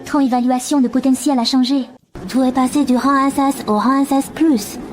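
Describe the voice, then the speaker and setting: High-pitched excitable young woman acted by a woman. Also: normal speed, lightly acted. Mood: excited. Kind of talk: dubbed animated drama.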